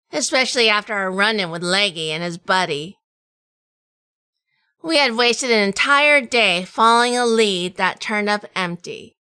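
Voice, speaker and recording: Wry noir detective lady played by a woman, bright n smooth, hardboiled audio drama, very dry recording. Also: medium pitch, no room sound. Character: Junie, wry, tough female sleuth in hardboiled noir. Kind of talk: first-person noir audio drama narration.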